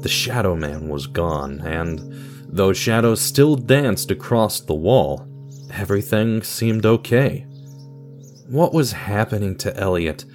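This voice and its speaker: A man's voice: on the low side, animated, slow pace, a little mellow. A man reading scripted material as a radio theatre narrator and announcer, with guitar music behind him. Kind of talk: scripted dramatic story narration.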